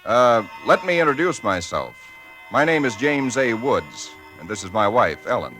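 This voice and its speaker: A man's voice: mid-range voice, big pitch swings, moderate pace, music in the background, close to an everyday voice. A man playing an easygoing young husband in an old radio thriller. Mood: polite. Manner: plain-spoken, easygoing, a little dry. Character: weary young husband narrating his own story. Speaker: narrator and husband. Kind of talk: 1940s radio acting.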